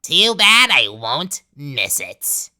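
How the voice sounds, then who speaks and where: A woman's voice: deep voice, big pitch swings, extremely slow, bright, forceful tone, full character voice. A woman voicing a manipulative talking flower who poses as a best friend, with a taunting, sarcastic, theatrical delivery in a game dub. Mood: bitter, gloating. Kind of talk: dramatic game fan dub.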